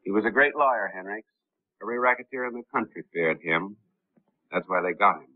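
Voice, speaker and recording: Actor as a deep, suave 1930s gentleman sleuth. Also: a little fast, close to an everyday voice. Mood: grave, wistful. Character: suave, composed gentleman crime fighter. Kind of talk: 1930s film acting. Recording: scratchy, tinny 1930s film soundtrack.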